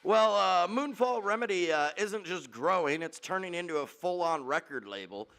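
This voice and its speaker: A man's voice: high-pitched, animated, moderate pace, fairly bright tone. A man hosting a casual podcast interview, hyping up his guest and filling his talk with "uh" and "man". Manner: hype introductions with many fillers and "man". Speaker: podcast host.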